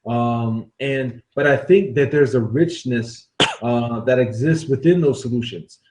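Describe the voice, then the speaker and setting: A man hosting a podcast interview, talking in a thoughtful, halting way with many fillers and restarts. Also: medium pitch, noisy background. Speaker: podcast host. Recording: roomy echo.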